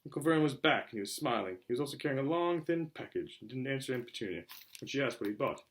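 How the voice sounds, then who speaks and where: Guy reading an audiobook, theatrical storybook narration, really animated pitch. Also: fast talker. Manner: expressive, theatrical. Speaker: audiobook reader.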